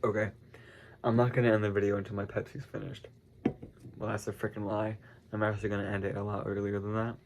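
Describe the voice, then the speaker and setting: Teen guy, stuffy nose, lowish voice, rambling casual vlog chatter, lots of "like" and "um". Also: little pitch movement, fast, a little mellow, slight background noise. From United States. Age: teens. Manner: meandering, heavy use of "like" and "um", frequent self-corrections. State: congested. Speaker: vlogger. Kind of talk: casual rambling chat to camera.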